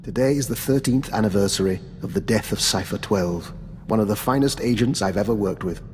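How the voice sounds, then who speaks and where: An actor playing an urbane, calculating old doctor and veteran spy in a video game, with a refined, courteous, understated manner. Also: male voice, fairly low pitch, fairly quick, a little mellow, long reverb tail, slight background noise, lightly acted. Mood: genial, musing. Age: older. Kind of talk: video game dialogue acting.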